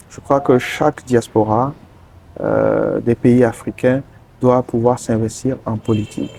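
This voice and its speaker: A man from Togo, answering interview questions earnestly and pausing often mid-sentence to find his words. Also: mid-range voice, gravelly, warm tone. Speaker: interview guest, politician.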